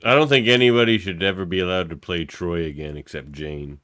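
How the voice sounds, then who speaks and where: A man's voice: low voice, a little fast, fairly bright tone, no room sound, clean studio sound. A man chatting casually on a podcast as a cast member, enthusiastic and playful. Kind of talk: casual chat.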